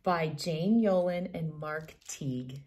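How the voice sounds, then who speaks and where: A woman in her thirties reading a picture book aloud in an expressive storytelling manner for young children. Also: sits low, takes it slowly. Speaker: children's book reader and host. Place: living room at home, indoors.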